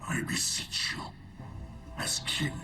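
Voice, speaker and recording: A man playing a solemn, commanding alien house leader in a video game, speaking in grave, stately oratory. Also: takes it slowly. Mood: grave, urging. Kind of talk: dramatic game cutscene speech. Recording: distant voice under loud music.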